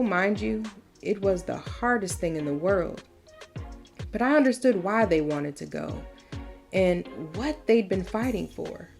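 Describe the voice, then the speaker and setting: A proud, folksy older mother, voiced by a woman reading aloud tells her story from a storybook. She speaks at a medium pitch with conversational emphasis. Soft music plays behind her. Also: vocal fry, natural voice. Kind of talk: storybook read-aloud, first-person narration.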